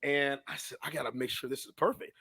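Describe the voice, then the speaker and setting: A man's voice: mid-range voice, fast, creaky, husky, no echo. American guy in his 30s chatting on a podcast, slangy storyteller, acts out the dialogue, really dry close sound. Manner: animated, slangy, acts out his own past thoughts and dialogue. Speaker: podcast guest, sports public address announcer. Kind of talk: casual storytelling in an interview.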